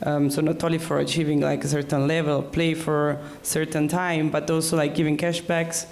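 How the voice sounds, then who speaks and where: A man on an industry panel explaining his points haltingly, with many "like" and "um" fillers. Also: on the high side, flat delivery. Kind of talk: conference panel discussion.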